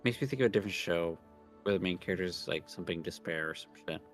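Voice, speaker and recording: A man's voice: low-pitched, fast talker, soft background music. A man chatting casually as a co-streamer on a game stream.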